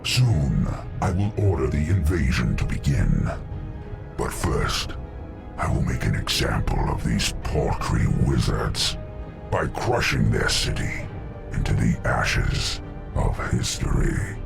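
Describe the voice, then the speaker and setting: Giant demon overlord from a game, super slow n menacing, big swoopy booming gravel voice. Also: male voice, very animated, music in the background, exaggerated voice. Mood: cold menace. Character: colossal male demon lord, imperious destroyer of worlds. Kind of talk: dramatic video game villain acting.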